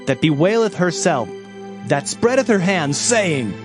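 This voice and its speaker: A man's voice: fairly high pitch, big pitch swings, unhurried, music in the background. A man playing an anguished Hebrew prophet in a dramatized scripture reading, lamenting and pleading with theatrical emphasis. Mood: mournful warning.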